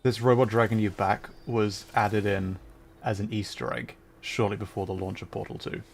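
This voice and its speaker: A man giving casual livestream commentary as he plays a video game, his voice slightly gravelly. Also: lowish voice, little pitch movement, moderate pace, slight background noise.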